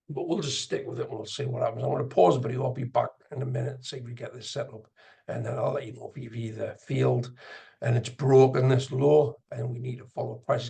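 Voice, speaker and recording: A man giving casual live commentary as an instructor, gravelly and husky, sometimes muttering to himself as he explains. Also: mid-range voice, mellow. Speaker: trading instructor and video host. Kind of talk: casual live screen-share commentary on chart trading.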